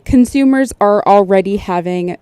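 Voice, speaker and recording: Woman doing casual explainer commentary, soft mellow tone, dry close mic. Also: medium pitch, moderate pace, smooth, dry recording. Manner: conversational, explanatory. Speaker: host, sleep technologist.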